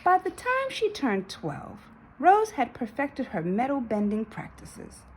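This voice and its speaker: A woman reading a children's story aloud in an expressive way, with dramatic emphasis and drawn-out words. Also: high voice, a little hiss or hum, rough recording. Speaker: storybook reader.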